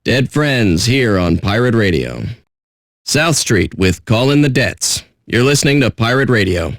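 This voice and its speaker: An actor playing a jaded pirate radio DJ with a sardonic, blunt, profane way of talking. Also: male voice, mid-range voice, big pitch swings, unhurried, dry recording, studio quality. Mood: scornful. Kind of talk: irreverent radio DJ patter.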